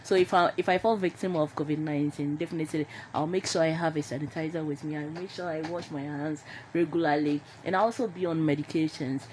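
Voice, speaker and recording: A woman's voice: deep voice, fairly even intonation, fast, hardly any pauses, a little mellow, low-quality recording. A woman with a Ghanaian accent giving her opinion conversationally as a panelist in a radio discussion. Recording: noticeable background noise.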